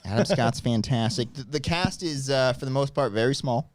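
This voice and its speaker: A man co-hosting a casual podcast chat about TV, speaking in an emphatic, animated way into a close microphone. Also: medium pitch, normal speed. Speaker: podcast co-host.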